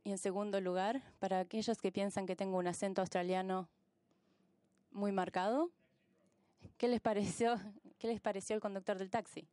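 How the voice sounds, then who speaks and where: A woman working as a simultaneous interpreter, rendering conference speeches live in halting pieces and correcting herself as she goes. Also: medium pitch, steady tone, dark tone, no room sound.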